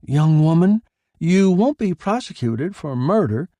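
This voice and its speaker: Man acting a brisk coroner, pitched high. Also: animated, mellow, dry recording. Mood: officious. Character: brisk, officious coroner pronouncing judgement. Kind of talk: dramatised short story reading.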